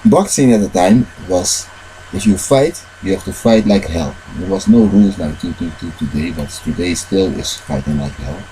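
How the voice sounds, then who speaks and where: A man with a deep, dark voice tells a winding story at his own pace, halting often on fillers and loose phrasing. He keeps the tale going by slipping into the voices of the people in it. A faint hum sits behind him. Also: low-quality recording. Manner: retells events with shifts into quoted dialogue. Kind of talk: rambling personal storytelling.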